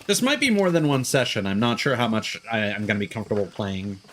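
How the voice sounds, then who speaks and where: Low-voiced man casually streaming a game. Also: lively intonation, fast talker, a bit bright, a little hiss or hum. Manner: rambling, thinking aloud. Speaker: live streamer playing a video game. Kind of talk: casual gaming livestream commentary.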